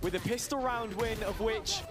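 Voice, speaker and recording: A man's voice: sits high, normal speed, over loud music. A man giving emphatic analytical commentary on an esports match. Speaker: esports caster.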